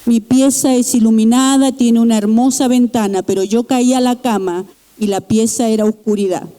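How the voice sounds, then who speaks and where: A middle-aged woman shares her personal testimony before a church congregation. Her voice sits a little high yet sounds deeply warm and mellow. She speaks earnestly, pausing and restarting as she works through her story. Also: fairly even intonation. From Chile. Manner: earnest, halting, with pauses and restarts. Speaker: church member giving testimony. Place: church sanctuary.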